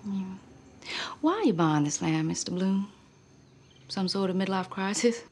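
A woman's voice: mid-range voice, a little slow, darkish tone, close to an everyday voice. An actress playing a guarded woman who speaks in a cool, probing way. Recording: film soundtrack with music.

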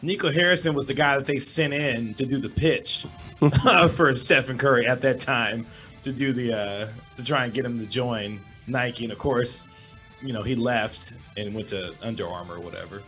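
A middle-aged man with a hoarse voice, a sports reporter giving measured analysis as a guest over a video call. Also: mid-range voice, bright, forceful tone, soft background music. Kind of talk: remote sports talk interview. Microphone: webcam with desk microphone, video call.

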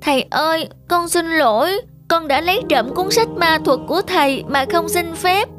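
A woman's voice: very high voice, expressive, normal speed. A woman playing an eager, naive young monkey apprentice in a children's cartoon, with a childlike, earnest manner. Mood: apologetic. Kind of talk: dubbed children's moral cartoon. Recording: music behind the dialogue.

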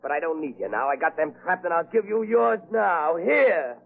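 A man's voice: on the high side, expressive, normal speed, vocal fry, soft background music. A man playing a panicky, treacherous crime boss in 1930s radio melodrama style. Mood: defiant, vicious. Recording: tinny phone-like 1930s radio recording.

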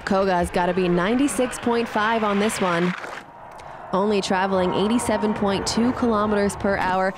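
A woman giving scripted sports highlights commentary as a voice-over. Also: steady tone, normal speed. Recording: clear ambient event noise behind voice.

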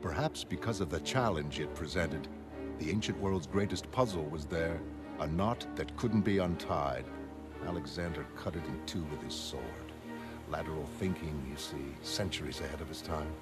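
A man playing a deep-voiced, lofty genius magnate. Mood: reverent, lofty. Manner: measured, erudite, lofty, formal diction. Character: wealthy, brilliant, self-assured male visionary recounting his life. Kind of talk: audiobook-like dramatic monologue in a motion comic. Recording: studio voice over prominent music.

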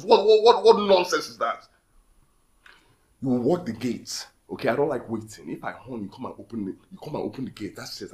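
Callous, domineering Nigerian male employer played by a man, animated and blustering, echoey room with music behind, film drama. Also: mid-range voice, lightly acted. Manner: blustering, repeats himself insistently.

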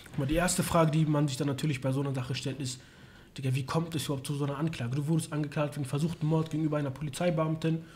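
A young man speaks as an interview host in a casual, explanatory way. His voice sits a little high and moves quickly, close on a studio microphone. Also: level tone, darkish tone, faint music behind. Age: young adult. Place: small indoor studio lounge. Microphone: microphone on boom arm.

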